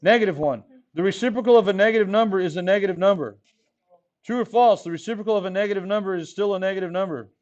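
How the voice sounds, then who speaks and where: A man in his forties teaching high school math to a live class, joking with the students as he goes. His voice sits high for a man and is a little hoarse. The classroom recording is dull and lo-fi. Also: fast, a little mellow. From Texas Rio Grande Valley. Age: 40s. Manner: playful, jokey, talks to the class. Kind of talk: classroom lecture.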